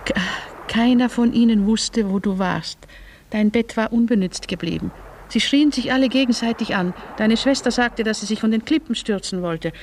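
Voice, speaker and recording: An actress playing a grave woman who recalls things in a measured, reflective way, in a literary radio drama. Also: on the high side, darkish tone, slight background noise, natural voice. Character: Ada, grave woman quietly recalling a family quarrel.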